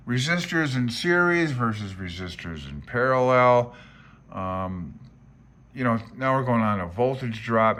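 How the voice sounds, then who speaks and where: Deep-voiced older man, casual and rambling. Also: fairly bright tone. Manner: laid-back, reminiscing, thinking aloud. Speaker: amateur radio hobbyist presenting a handbook. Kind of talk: casual rambling commentary while paging through a book.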